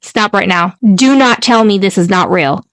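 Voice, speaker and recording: Middle-aged woman podcast co-host, medium pitch, gushing emphatic casual talk, very dry recording. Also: normal speed, no room sound. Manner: effusive, emphatic with repeated phrases. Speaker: podcast co-host reacting to the story.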